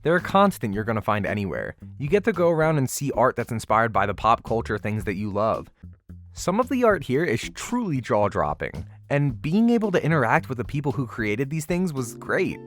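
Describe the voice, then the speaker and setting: A man's voice: mid-range voice, very animated, moderate pace, soft background music. A man narrating a convention vlog in a casual, slangy voice-over with wry, playfully exaggerated commentary. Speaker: vlogger narrating a convention walkthrough.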